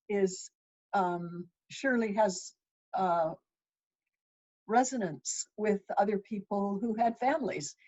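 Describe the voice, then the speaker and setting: A woman in her sixties who grew up in Ethiopia, answering interview questions thoughtfully with frequent pauses and restarts. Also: medium pitch, dark tone. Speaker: author and interviewee. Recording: dull lo-fi sound.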